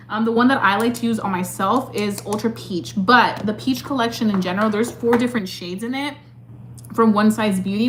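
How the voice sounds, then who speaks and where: A woman's voice: mid-range voice, a bit bright, slight background noise. Chatty young woman doing her makeup. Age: 20s. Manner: chatty and upbeat, filler-heavy with many "like" and "um". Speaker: beauty creator answering live chat. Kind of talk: casual chat while doing makeup.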